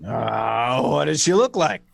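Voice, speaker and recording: A man's voice: mid-range voice, expressive, slow, creaky, no room sound, pitched below the performer's own voice, heavily acted. A man playing an affable, slightly baffled friend in a comic radio play, solicitous and hesitant.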